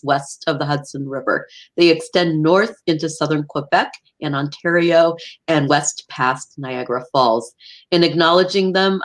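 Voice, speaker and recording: Low-voiced woman hosting an interview. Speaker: host. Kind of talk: scripted introduction and interview questions.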